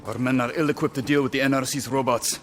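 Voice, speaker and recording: Guy doing a serious army lieutenant in a game, formal and measured, mid pitch, music behind him. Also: steady tone, natural voice. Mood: grim, warning. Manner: formal, measured military briefing. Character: grave, formal Egyptian army lieutenant, weary but resolute. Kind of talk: video game cutscene acting.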